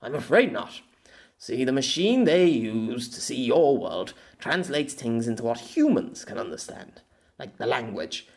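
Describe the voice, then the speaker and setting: A man's voice: mid-range voice, big pitch swings, unhurried, creaky. A man voicing a weary, sarcastic male writer in an audiobook, dry and exasperated in manner. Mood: reluctant, explaining.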